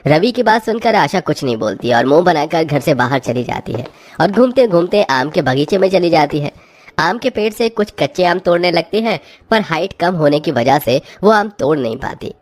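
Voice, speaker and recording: A woman's voice: deep voice, animated, moderate pace, a little mellow. A woman narrator reading a scripted cartoon story.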